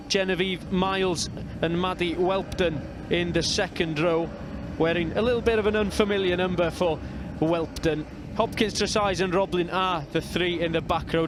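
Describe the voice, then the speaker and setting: A man from North Wales with a North Welsh accent, calling a rugby match as live commentator in a measured, steady way. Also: sits high, background noise. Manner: measured, reads out team lines steadily.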